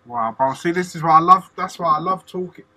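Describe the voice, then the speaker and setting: Male interview host, enthusiastic and slangy, piercingly bright timbre. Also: a little high, brisk. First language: English. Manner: enthusiastic, casual slang, lots of "like" fillers. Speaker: podcast host. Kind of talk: casual conversational interview.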